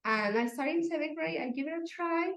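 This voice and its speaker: A young woman with a Mexican Spanish accent speaks in a fairly high voice, pausing often and filling gaps with "um". Spanish is her first language, so her phrasing is simple. Her voice rings in an echoey room. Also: fairly bright tone. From Mexico City. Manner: hesitant, lots of fillers, simple phrasing. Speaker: guest, runner.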